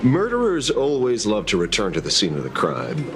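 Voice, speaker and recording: A man playing a wry, world-weary but sharp private detective in a video game, speaking in a dry, musing way. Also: on the low side, huge pitch swings, moderate pace, over music. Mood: sly, probing.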